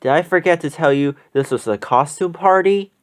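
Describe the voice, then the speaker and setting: A storybook reader voicing a kindly, gracious woman who hosts a party, speaking gently and politely. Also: mid-range voice, normal speed, slight background noise, natural voice.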